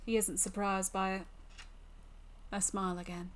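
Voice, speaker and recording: A woman's voice: mid-range voice, little pitch movement, normal speed, slight background noise. A woman streamer reading a visual novel aloud as dramatic story narration.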